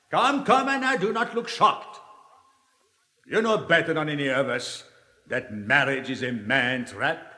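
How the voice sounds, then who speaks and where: Suave cynical libertine, lively swinging intonation, declamatory 1950s stage drama, long hall reverb. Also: male voice, medium pitch. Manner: rhetorical, deliberate, declamatory. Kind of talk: 1950s theatrical dramatic reading.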